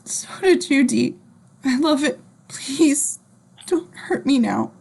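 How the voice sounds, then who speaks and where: A woman's voice: sits high, lively intonation, takes it slowly, creaky, darkish tone, heavily acted. A woman playing a terrified captive begging for her life, tearful and breathless, in an amateur screenplay read over a video call. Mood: fearful pleading. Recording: home video-call audio.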